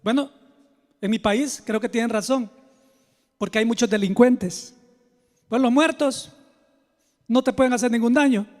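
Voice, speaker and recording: A Salvadoran man preaches in a very high-pitched voice, with long reverb trailing his words. He tells personal stories like a pastor confiding in his listeners. His delivery is informal and direct, closer to conversation than oratory. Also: measured pace, pauses often, a little mellow. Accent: Salvadoran Spanish. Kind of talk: conversational sermon with personal storytelling.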